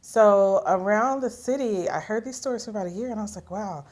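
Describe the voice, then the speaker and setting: A woman's voice: mid-range voice, a little fast, darkish tone. A middle-aged woman from Philadelphia, telling her story as an interview guest, with many fillers and quoted dialogue. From Philadelphia, USA. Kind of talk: conversational interview.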